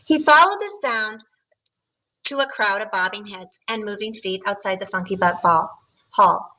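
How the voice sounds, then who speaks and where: Bright-voiced teacher reading to kids. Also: female voice, mid-range voice, expressive, normal speed, low-quality recording. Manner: friendly, explaining to children between passages. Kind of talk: reading a picture book aloud to students.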